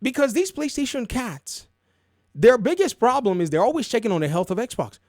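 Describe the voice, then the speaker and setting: High-pitched, animated man giving opinionated commentary. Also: normal speed, no room sound, clean studio sound. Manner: emphatic, repeats phrases for effect, colloquial. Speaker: video game commentary host. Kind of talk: casual opinionated commentary and storytelling.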